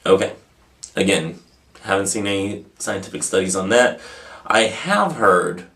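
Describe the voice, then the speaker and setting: A man's voice: low voice, gravelly, a bit bright. A man hosting an informal video, answering questions off the cuff in a rambling, self-deprecating way. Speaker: host of a pagan question-and-answer video channel. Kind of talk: casual, unscripted answering of viewer questions.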